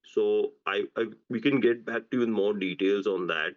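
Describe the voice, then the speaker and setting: Male executive, deep voice, halting unscripted answers full of restarts, muffled lo-fi conference line. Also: steady tone. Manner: halting, often restarting phrases. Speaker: company executive answering on an earnings call. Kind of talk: unscripted business Q&A. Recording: dull, lo-fi conference call audio.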